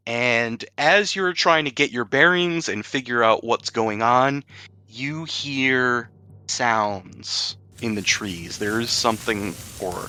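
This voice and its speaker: A man's voice: mid-range voice, slow, sharp tone, smooth. A man improvising narration as the game master of a tabletop role-playing session.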